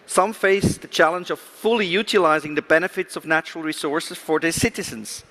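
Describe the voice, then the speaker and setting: A man delivering a prepared, formal conference speech as a government official, in a measured, rhetorical way with stressed key words. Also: on the high side, sharp tone. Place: conference event, speaking to an audience.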